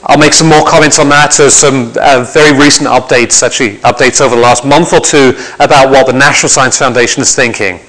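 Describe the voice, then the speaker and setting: A man's voice: mid-range voice, steady tone, a little fast, few pauses, fairly bright tone. A man giving an academic presentation to an audience in a lecture hall, speaking conversationally with frequent restarts and repeated words. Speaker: visiting lecturer.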